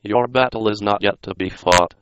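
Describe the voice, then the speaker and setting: A synthetic male text-to-speech voice reading scripted narration in a flat, non-dramatic way. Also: lowish voice, moderate pace, clean tone, dry recording. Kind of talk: computer-read scripted narration. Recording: dull lo-fi synthetic audio.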